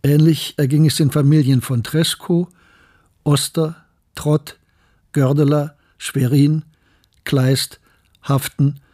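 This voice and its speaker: An actor playing a grave scientist who narrates his memories of wartime tragedy in a sombre, measured way for a radio drama. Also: on the high side, steady tone, mellow. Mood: grave, bleak.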